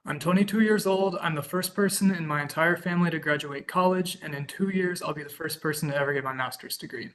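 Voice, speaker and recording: A man's voice: a little high, fast, hardly any pauses, fairly bright tone, rough recording. A man in his twenties from Kansas, earnestly reading a prepared statement as a witness over a video call. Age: 20s. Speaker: witness giving testimony. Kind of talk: prepared public testimony.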